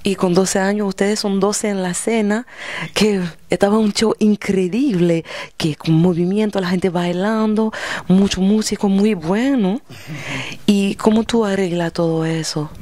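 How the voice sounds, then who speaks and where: A man's voice: sits high, steady tone, measured pace, mellow. A native French-speaking man with a French accent, hosting a music TV interview.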